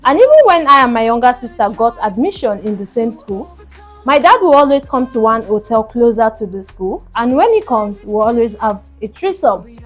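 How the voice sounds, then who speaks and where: A woman's voice: on the high side, lively intonation, moderate pace, faint music behind. A woman hosting a story show, telling a first-person tale in an emphatic, dramatic way with repeated phrases.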